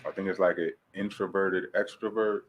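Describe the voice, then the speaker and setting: A man in his late twenties with a naturally low voice, talking casually and a bit hesitantly as an interview guest. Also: fairly even intonation, brisk, poor audio. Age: late 20s. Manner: laid-back, hesitant, many fillers and restarts. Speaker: guest rapper.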